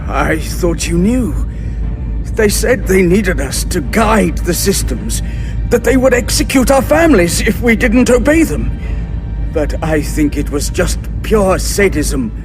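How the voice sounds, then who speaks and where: An actor playing a desperate captive scientist, earnest and pleading, in an audio drama. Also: male voice, high-pitched, sing-song, measured pace, music in the background, full character voice. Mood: grim despair. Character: desperate, despairing male chief scientist held captive.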